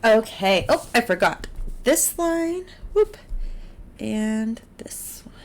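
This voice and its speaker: A woman teaching art in a casual step-by-step drawing tutorial, encouraging and unhurried as she talks through each step while she works. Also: fairly high pitch, sing-song. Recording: clear background noise, drawing sounds.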